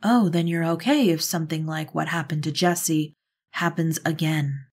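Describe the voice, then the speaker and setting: A woman playing an earnest, persistent witch in an audio roleplay drama, talking in a measured, persuasive way. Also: deep voice, darkish tone, slightly rough. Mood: pleading. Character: earnest, persistent female witch, pleading go-between.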